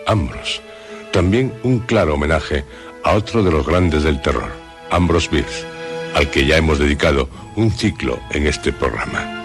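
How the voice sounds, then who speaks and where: A man with a Castilian Spanish accent presenting a horror radio show in a solemn, suspenseful scripted narration with dramatic pauses. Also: extremely low pitch, animated, gravelly. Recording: loud music under the voice. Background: background music.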